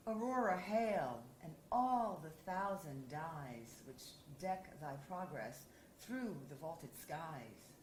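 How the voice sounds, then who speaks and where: A woman editor reading poetry aloud at a symposium, measured and deliberate. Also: sits low, darkish tone. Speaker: anthology editor and reader. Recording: roomy hall with faint hiss.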